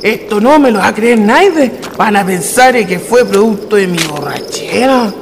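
A man's voice: high-pitched, lively intonation, moderate pace, heavy background noise. A man with a Chilean accent playing a grumbling, tipsy village traveller in a horror radio drama. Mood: resigned. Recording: radio play with music underneath.

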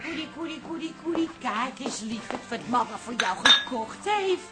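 An actress playing a bossy, suspicious grown daughter in a Dutch radio comedy, scolding and sharp-tongued. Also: female voice, sits high, animated, moderate pace, over music. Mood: doting. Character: bossy, suspicious adult daughter doting on her cat.

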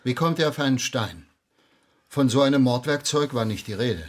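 A man's voice: medium pitch, animated, moderate pace, natural voice. An actor playing a blunt, unsentimental male investigator in a baroque-era German radio drama, brusque, probing and officious.

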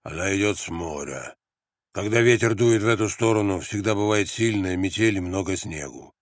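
A man voicing a sullen, sly, drunken guerrilla in an audiobook, talking slowly and weightily. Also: deep voice, no room sound, studio quality, lightly acted. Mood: weighty, slow. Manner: slow, weighty, didactic.

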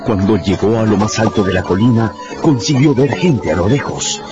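A man's voice: lowish voice, animated, normal speed. A man narrating a radio drama in a dramatic, scripted storytelling style. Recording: slightly dull sound, music behind.

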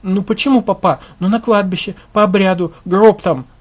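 A man's voice: high voice, moderate pace, pitched above the performer's own voice. A man voicing a grieving widower who pleads and whines through tears. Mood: pleading. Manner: pleading, tearful, whining.

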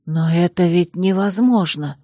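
A woman voicing a young female werewolf bodyguard, soft, reserved and polite. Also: on the low side, measured pace, no room sound. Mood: astonished.

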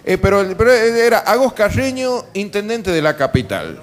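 An Argentine man hosts a casual comic radio talk show. His voice sits a little high and swings widely in pitch. He talks with an ironic, joking edge. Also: huge pitch swings, normal speed. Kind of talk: casual comic political chat. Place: bar, live radio broadcast.

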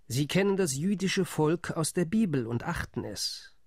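A man's voice: on the high side, animated, normal speed, no room sound, clean studio sound. An actor playing an indignant male delegate, arguing firmly in a radio play monologue.

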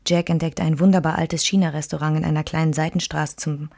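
An actress playing a reflective wife who narrates life between two men in a scripted radio drama. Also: female voice, sits low, steady tone, quick pace, creaky, darkish tone.

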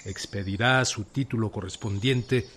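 An actor playing a resolute revolutionary general from rural Mexico in a radio drama, speaking solemnly and deliberately with a Mexican accent. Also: male voice, on the low side, fairly bright tone, slight background noise. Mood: solemn, proclaiming. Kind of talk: radio drama acting, proclamation and dialogue.

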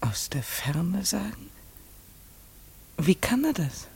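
A woman's voice: fairly low pitch, very animated, slow, gravelly, breathy edge, exaggerated voice. An actress playing a polite, curious young lady visitor in a German radio play, hesitant and shaken but brave, often near a whisper. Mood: dazed, whispering.

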